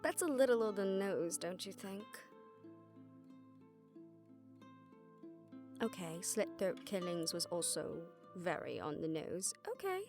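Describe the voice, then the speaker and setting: A woman's voice: fairly low pitch, very animated, normal speed, music in the background. A woman playing a jaded, sardonic witch detective who sees visions of death, talking conversationally to a partner in a roleplay audio drama. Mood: playful, brainstorming.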